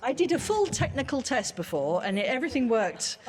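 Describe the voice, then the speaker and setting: A woman's voice: high-pitched, big pitch swings, a little fast, big-room sound, crowd noise. A woman interviewing onstage, flustered and laughing while she struggles with her tech. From Britain. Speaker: interviewer, animator. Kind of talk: live onstage conversation. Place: outdoor terrace event.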